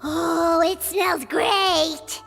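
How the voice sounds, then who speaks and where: A woman voicing a small, eager, childlike fairy companion with a squeaky voice in a video game. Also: fairly even intonation, very slow, gravelly, a bit bright, soft background music, exaggerated voice. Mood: cheerful, eager.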